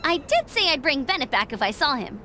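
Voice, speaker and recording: A woman playing a perky, eager young female scout in a video game, with a bubbly, brisk and friendly delivery. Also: high voice, very animated, bright, forceful tone, a little hiss or hum, heavily acted. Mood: cheerful, helpful.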